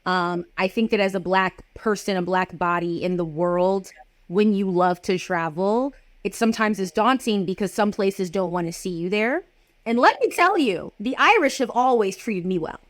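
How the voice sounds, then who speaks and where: A woman hosts a casual interview over a video call, her voice at an ordinary middle pitch. She talks in an upbeat, emphatic way and puts questions directly to her guest. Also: normal speed, dry recording. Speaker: podcast host.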